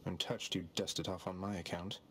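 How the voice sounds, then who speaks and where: A man's voice: low voice, steady tone, brisk, a bit bright, slightly rough. A rebellious young prince of the underworld in a video game. He talks with cocky, sardonic wit and casual politeness. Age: young adult. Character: cocky, wry young god, rebellious son of underworld lord.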